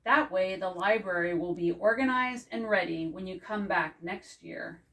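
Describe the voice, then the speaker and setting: A woman voicing a gentle, encouraging school librarian in a picture book, with a lilting delivery. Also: medium pitch, moderate pace, natural voice. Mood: informative. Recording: distant microphone.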